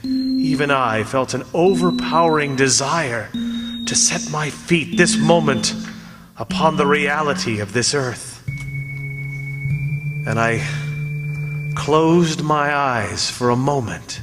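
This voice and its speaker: An actor playing a frightened, desperate condemned man in old-time radio theatre, dramatic and poetic in his delivery. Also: on the high side. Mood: awed, hushed narration. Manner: dramatic, halting pleas and poetic, solemn narration. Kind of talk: old-time radio theatre acting and first-person narration. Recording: long reverb, loud music behind.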